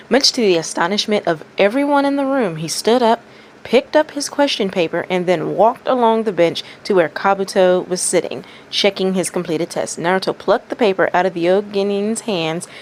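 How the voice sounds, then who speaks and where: A woman's voice: lowish voice, low-quality recording. A woman doing casual story-time talk and reading fan fiction aloud as a narrator. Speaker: fan fiction reader and commentator. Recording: faint background noise.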